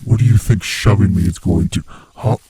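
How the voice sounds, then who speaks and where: A man voicing a sinister, gloating monster who hunts human prey, with a husky, rasping voice and a slow, taunting manner, in a horror ASMR roleplay. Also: warm tone, a little hiss or hum, lightly acted. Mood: startled, annoyed. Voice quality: husky, breathy, rasping low voice. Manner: slow, menacing, theatrically taunting.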